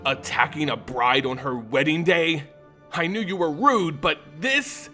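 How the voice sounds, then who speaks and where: A man's voice: a little high, unhurried, sharp tone, faint music behind. A man voicing a haughty, furious female vampire bride in an indignant, imperious manner for a fantasy story.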